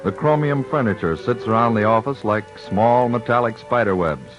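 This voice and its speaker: A male announcer with a mid-range voice narrates the scene in measured tones. Music plays under him, and the broadcast sounds dull and lo-fi. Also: medium pitch. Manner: measured, descriptive. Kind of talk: scripted radio narration.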